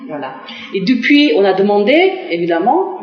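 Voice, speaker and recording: A woman's voice: mid-range voice, very animated, normal speed. Woman diplomat, echoey. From Korea. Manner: hesitant, many fillers and restarts. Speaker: Korean diplomat. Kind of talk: TV interview answers. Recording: echoey, dull lo-fi TV broadcast.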